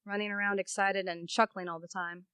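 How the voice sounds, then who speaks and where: A woman's voice: mid-range voice, flat delivery, fairly quick, sharp tone, clear, resonant tone, no echo, natural voice. A woman playing a chatty, frank newspaper colleague in an amateur radio play, casual and slangy. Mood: frank, intrigued.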